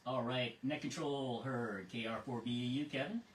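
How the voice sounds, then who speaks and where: An American man acting as ham radio net control, reading procedures in a measured way with casual asides. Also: mid-range voice. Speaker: amateur radio net control operator and host. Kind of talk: reading a net script and chatting with check-ins. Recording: roomy, distant mic with faint noise.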